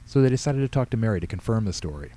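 Very mellow, lowish-voiced male story narrator. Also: expressive, very fast. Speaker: author reading own story.

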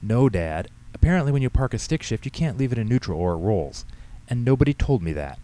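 A man's voice: mid-range voice, sing-song, brisk, dark tone, pitched above the performer's own voice, natural voice. A man voicing an indignant, defensive teenage girl who protests insistently in an audiobook story. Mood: exasperated, insistent. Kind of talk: audiobook character dialogue.

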